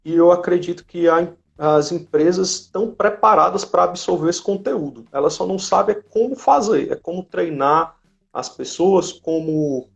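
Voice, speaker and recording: Homem brasileiro de voz rouca e crepitante. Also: male voice, high-pitched, normal speed, creaky. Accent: Brazilian. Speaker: company representative co-hosting a live stream. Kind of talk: casual live discussion. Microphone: live video call. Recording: dull lo-fi live-stream audio.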